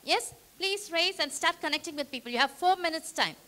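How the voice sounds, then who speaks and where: A woman leading an interactive training session in a meeting hall. She rallies the audience with energetic questions. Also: very high-pitched, a little fast. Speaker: workshop trainer.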